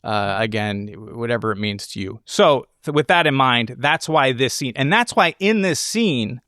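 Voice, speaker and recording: A man's voice: medium pitch, lively intonation, normal speed, bright, forceful tone, no echo, clean studio sound. A man hosting a casual film discussion, thinking aloud in rambling, speculative explanations. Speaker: podcast host analyzing a film.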